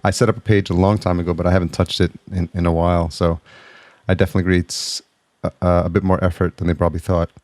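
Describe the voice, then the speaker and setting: A man hosting a podcast interview, friendly and halting, often restarting his sentences. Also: sits low, little pitch movement, darkish tone, no echo. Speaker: podcast host. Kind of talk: casual interview chat.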